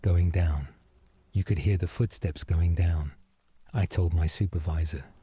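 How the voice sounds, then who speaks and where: A man playing a wary, evasive night security guard in an audio drama, husky and speaking in clipped, hesitant phrases. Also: sits low, fairly even intonation, unhurried, mellow, no echo, heavily acted.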